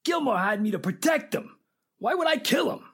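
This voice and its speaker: A man playing an indignant, slangy hired bodyguard with a raspy, gravelly voice in a radio drama. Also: very high voice, very animated, moderate pace, fairly bright tone, exaggerated voice. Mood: indignant, defensive. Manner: slangy, blustering wise guy.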